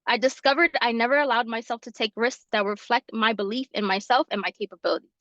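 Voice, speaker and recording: A young woman from New York reads her personal story aloud in a steady, earnest way. Her voice sits a little high. The call audio is dry and somewhat dull, like a lo-fi video call. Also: quick pace, no echo. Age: 20s. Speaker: fellow presenting her story. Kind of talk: reading a prepared personal story.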